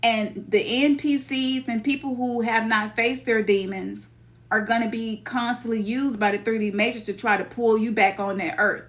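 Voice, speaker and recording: A woman's voice: fairly high pitch, fairly even intonation, normal speed. A middle-aged woman from Memphis with a Memphis Southern accent, talking casually as a spiritual teacher, loose and slangy, sometimes acting out her own inner voice. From Memphis, Tennessee. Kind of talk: casual spiritual talk to listeners.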